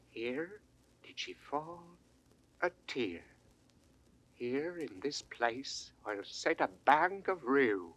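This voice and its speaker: Give the part of a grave, humble head gardener in a classical Shakespeare audio play. His voice is fairly high for a man and forceful, with a sharp edge. He declaims the verse very slowly and deliberately, like plain working wisdom made solemn. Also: full character voice, rough recording. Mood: pitying, sorrowful. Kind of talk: 1960s classical Shakespeare audio drama acting.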